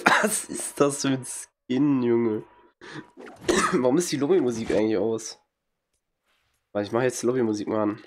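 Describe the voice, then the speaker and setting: A man's voice: mid-range voice, a little slow, pauses often, a little hiss or hum. Young man with a cold, blunt and slangy. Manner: blunt, slangy, mocking reactions. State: has a cold, occasional coughing. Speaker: gaming streamer. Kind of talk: casual gameplay commentary. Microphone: headset.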